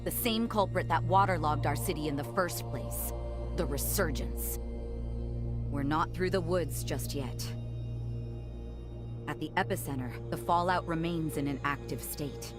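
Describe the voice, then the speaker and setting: Game noblewoman: sardonic, commanding, deepish voice, blunt delivery over music. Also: measured pace. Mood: briskly commanding. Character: defiant, sardonic noblewoman ruling a sunken city. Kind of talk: scripted video game cutscene acting.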